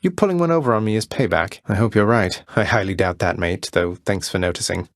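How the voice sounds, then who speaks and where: A man playing a young underworld prince in a video game, friendly, courteous and gently wry. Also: lowish voice, brisk, no echo, studio quality, natural voice. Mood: warm, encouraging.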